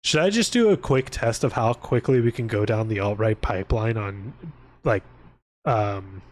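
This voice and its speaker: A man's voice: on the low side, moderate pace, darkish tone. A man streaming live, chatting casually in a rambling, irreverent way with plenty of swearing. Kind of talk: casual livestream chat.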